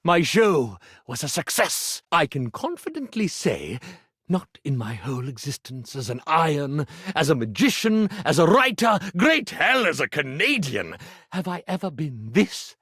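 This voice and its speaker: Game role: pompous living-iron illusionist, vain and theatrical, hugely animated pitch, middle register, dry recording. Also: male voice, measured pace, husky, no room sound, heavily acted. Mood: elated. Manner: grandiose, flamboyant, showman-like declamation. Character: vain, theatrical male stage magician, a living clothes iron. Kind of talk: theatrical video game character acting.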